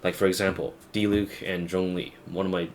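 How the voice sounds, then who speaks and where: A man's voice: low voice, level tone, fairly quick. A young man giving a casual, blunt, rambling, profane rant as a gaming video creator. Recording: faint background noise.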